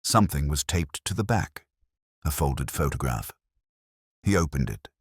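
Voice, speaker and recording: Very deep, smooth male mystery narrator. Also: animated, moderate pace, no room sound, clean studio sound. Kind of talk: scripted audiobook narration of a mystery.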